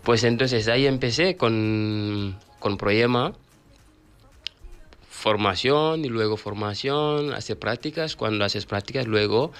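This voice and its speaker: A man with a Fula accent, a native Fula speaker, talking as a radio interview guest, halting with frequent restarts and repeated words. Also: fairly low pitch, fairly bright tone, smooth. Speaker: guest, author of a Fula learning book.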